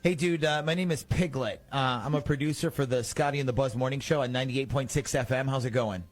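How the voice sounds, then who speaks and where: A man's voice: medium pitch, fast talker. A man hosting a morning radio show, running a prank call in a casual, teasing way.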